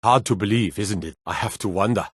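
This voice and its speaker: An actor playing a gruff, suspicious city guard in a fantasy video game, speaking in a curt, wry, world-weary way. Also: male voice, on the low side, a little fast, dry recording, studio quality. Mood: musing. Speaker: town guard. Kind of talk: video game character voice lines.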